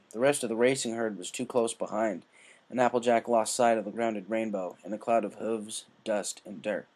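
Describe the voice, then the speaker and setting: A man's voice: mid-range voice, darkish tone. A man reading a story aloud as scripted narration. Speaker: story reader. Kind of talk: scripted narration of fan fiction.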